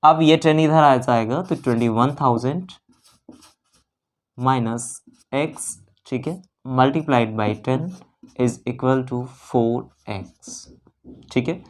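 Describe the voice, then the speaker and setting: Indian teacher, mid-pitched voice, exam-prep maths lesson, step-by-step explanation, repeated phrases, English terms mixed in, frequent check-ins. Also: very slow.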